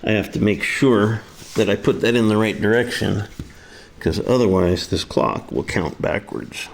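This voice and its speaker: A man's voice: lowish voice, measured pace, creaky, slight background noise. A man giving a casual, hands-on repair demonstration, talking through each step in a folksy, informal way. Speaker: repair video presenter. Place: kitchen, indoors.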